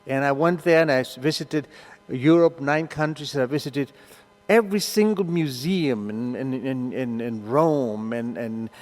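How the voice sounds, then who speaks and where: An older man from Argentina with an Argentine Spanish accent, a native Spanish speaker, telling an animated story to a meeting and acting out the voices. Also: mid-range voice, unhurried, in a large hall. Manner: animated, acts out voices in his stories. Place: meeting hall.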